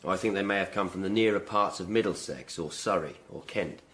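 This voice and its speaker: Dutiful tudor coroner, lowish voice, matter of fact reporting, radio drama. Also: male voice, fairly quick, fairly bright tone. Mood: businesslike. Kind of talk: period radio drama acting.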